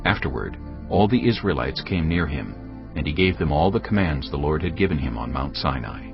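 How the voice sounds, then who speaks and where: A very deep male voice narrates scripture dramatically, with lively swings in pitch. The audio is dull and lo-fi. Loud cinematic music plays underneath. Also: brisk.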